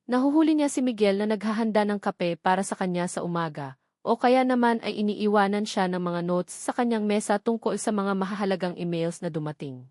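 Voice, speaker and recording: A woman's voice: mid-range voice, a little mellow, dry recording. Woman narrating a drama, dry sound. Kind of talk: scripted dramatic audio story narration.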